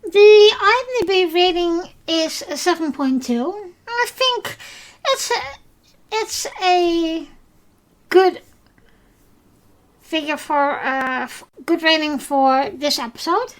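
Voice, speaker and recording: A woman's voice: extremely high pitch, slow pace, pauses often. A woman with a Dutch accent, a native Dutch speaker, hosting a solo podcast that recaps episodes, talking with many fillers and restarts. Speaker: podcast host.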